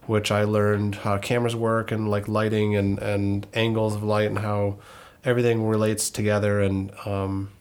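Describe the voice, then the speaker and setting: A man's voice: on the low side, little pitch movement, normal speed, few pauses, darkish tone, clear, resonant tone. A thirty-year-old man from northern Minnesota co-hosting a casual podcast, rambling a bit and correcting himself with frequent ums. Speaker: podcast co-host.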